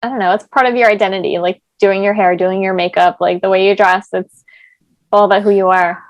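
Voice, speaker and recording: A woman's voice: mid-range voice, steady tone, fairly quick, no room sound. Chatty young woman, dry close sound. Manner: chatty, lots of "like" fillers. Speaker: podcast host. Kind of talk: casual chat.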